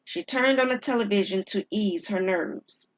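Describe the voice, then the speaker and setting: Woman, medium pitch, scripted story narration, deliberate, suspenseful. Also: muffled recording.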